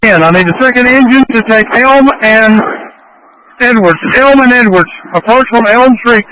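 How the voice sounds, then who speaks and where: A man's voice: very high voice, moderate pace, sharp tone. A man giving terse orders as a fire incident commander over a two-way radio. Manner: terse, directive. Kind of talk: emergency radio dispatch traffic. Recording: crackly fire department radio transmission.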